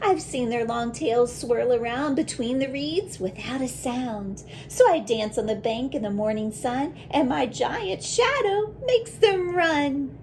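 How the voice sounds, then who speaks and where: A woman voicing a brave, imaginative little schoolgirl in a picture book, with dramatic, emphatic, drawn-out delivery. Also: sits high, a little mellow, a little hiss or hum, pitched above the performer's own voice. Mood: bold, dramatic.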